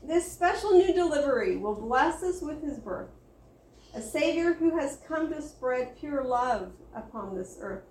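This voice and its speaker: A woman voicing a gentle, loving mother star who softly pleads with the animals in a storybook reading. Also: high-pitched, animated, a little slow, recorded from a distance. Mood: tender, pleading. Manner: soft, pleading. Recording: roomy echo.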